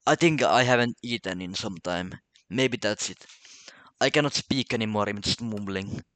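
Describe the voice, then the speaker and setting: A man's voice: fairly low pitch, moderate pace, a bit bright, dry recording. A man from Finland with a Finnish accent and Finnish as his first language, talking casually to camera in a solo vlog, stammering and repeating words as he goes. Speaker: vlogger.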